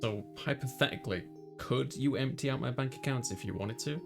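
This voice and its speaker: A man's voice: medium pitch, fairly quick, creaky, lightly acted. Thoughtful young student read by a man, music behind. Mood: curious. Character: easygoing young male student with AI companion. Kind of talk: visual novel voice reading.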